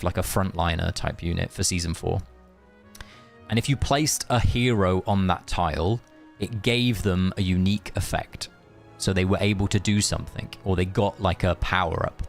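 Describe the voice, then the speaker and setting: A man chatting informally and chummily to viewers as he streams a game. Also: lowish voice. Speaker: game livestreamer. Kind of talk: casual livestream chat and commentary.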